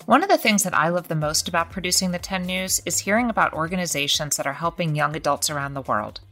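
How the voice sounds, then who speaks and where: Woman producer narrating, lowish voice, steady and measured. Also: faint music behind. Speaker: executive producer presenting a segment. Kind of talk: scripted narration.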